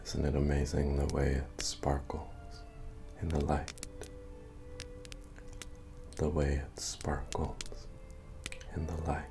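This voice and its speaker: A man playing a friendly, humble medieval fantasy innkeeper in a soft-spoken, deep-voiced, understated ASMR roleplay. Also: heavily acted. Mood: hypnotic, hushed. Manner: hesitant, rambling, understated delivery. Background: bard music.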